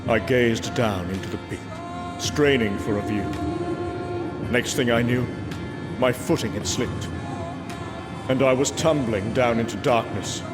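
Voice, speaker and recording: An actor playing a proud, brooding warrior god, telling his tale gravely and theatrically in a comic book drama. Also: mid-range voice, lightly acted. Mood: solemn, reflective. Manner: grave, theatrical, measured storytelling. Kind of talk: dramatic first-person comic book narration. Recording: music under the voice. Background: music.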